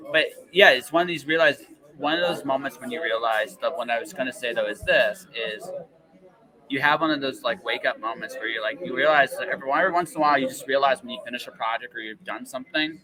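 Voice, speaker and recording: A man's voice: mid-range voice, brisk, crowd noise, rough recording. A man hosting a casual, enthusiastic interview, rambling and repeating phrases for emphasis. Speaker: podcast host interviewing a guest.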